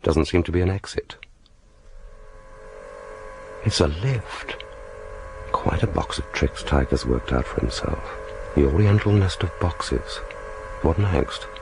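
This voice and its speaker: An actor in a radio drama playing a cool, wry British secret agent with a dry, understated delivery. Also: male voice, sits low, expressive, measured pace, creaky, full character voice. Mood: wary, observant. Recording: background noise behind the voice.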